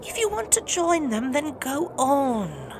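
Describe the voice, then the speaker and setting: A woman's voice: high voice, wildly swooping pitch, takes it slowly, noisy background, exaggerated voice. An actress playing a regal, doting and shrewd mother queen of the gods in an audio drama, speaking with formal, coaxing poise. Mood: tender, coaxing.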